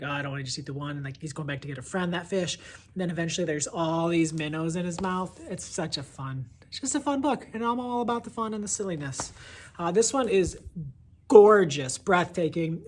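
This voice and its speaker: Low-pitched woman gushing about books, noisy recording. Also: moderate pace. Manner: gushing, effusive, conversational. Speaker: book reviewer and presenter. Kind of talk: casual, enthusiastic book review to camera.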